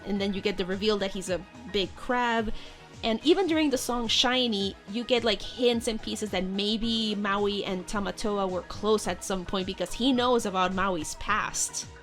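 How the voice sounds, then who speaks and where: A woman's voice: mid-range voice, normal speed, a bit bright, faint music behind. Woman guest, video editor type, casual and rambling, mid-range voice. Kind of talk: casual podcast chat.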